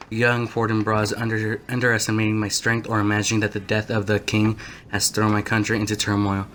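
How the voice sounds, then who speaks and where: Guy doing smug composed king, stage drama, really monotone, bit of background noise. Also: lowish voice, natural voice. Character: composed, self-satisfied king, smooth-talking and commanding. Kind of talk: modernised stage drama speech.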